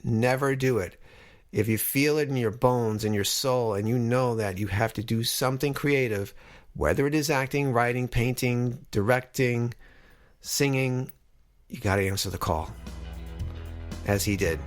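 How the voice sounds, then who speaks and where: Give this the voice of a male podcast host around fifty, from Long Island. He talks in an average male pitch. His delivery is that of a casual interviewer introducing and questioning guests. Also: unhurried, soft background music. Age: about 50.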